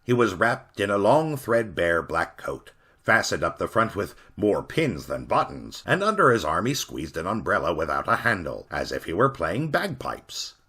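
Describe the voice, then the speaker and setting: A man narrating a Victorian ghost story in a theatrical, expressive storyteller manner. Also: low voice, normal speed, breathy edge.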